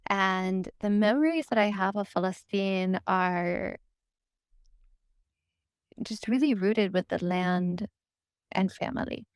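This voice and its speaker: A woman's voice: mid-range voice, slow, long pauses, clean tone, no room sound, clean studio sound. A woman with an American accent telling her story conversationally in an interview, reflective and informal, often saying "like" and "you know". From United States. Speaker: podcast guest and co-founder.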